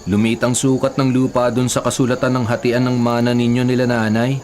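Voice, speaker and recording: A man plays an earnest young Filipino nephew in a radio drama. He is respectful and matter-of-fact, with a mid-pitched voice and careful, polite delivery. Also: level tone, a little fast, darkish tone, close to an everyday voice. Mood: polite concern. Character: earnest, respectful young nephew looking after family land.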